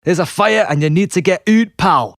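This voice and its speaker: A man's voice: high voice, normal speed, a bit bright, no echo, clean studio sound, pitched above the performer's own voice. A man voicing an aggressive hard man posing as a security guard, barking curt threats in an audiobook. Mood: menacing.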